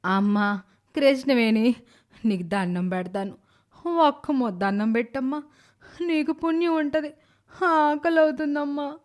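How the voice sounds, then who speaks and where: A suffering, gentle old mother-in-law from an animated morality tale, voiced by a woman. She speaks in a high, drawn-out wail, pleading like someone frail and worn down. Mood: begging. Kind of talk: animated moral story voice acting.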